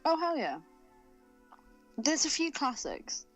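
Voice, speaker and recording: High, animated, sweary woman. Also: slow, faint music behind. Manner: sweary, teasing. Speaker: podcast co-host. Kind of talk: casual banter.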